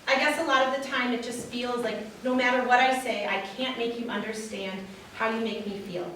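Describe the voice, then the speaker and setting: A woman's voice: a little high, fairly even intonation, brisk, a bit bright. A woman reading a love letter aloud as a performer at a wedding. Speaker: reader at a wedding reader's theater. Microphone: distant from speaker. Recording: echoey room, distant mic, faint noise.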